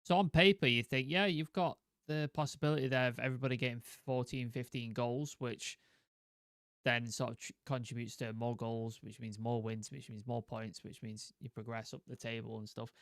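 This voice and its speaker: A man's voice: medium pitch, steady tone, moderate pace, a bit bright, clear, resonant tone, no room sound, studio quality. A man talking as a football podcast panelist, rambling on in long strung-together clauses. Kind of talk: casual football chat.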